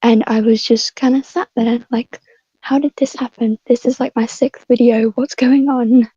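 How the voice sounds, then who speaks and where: High, mellow woman on dull mic. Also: steady tone, moderate pace, breathy edge, dry recording. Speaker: voice actor guest. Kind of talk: casual chat.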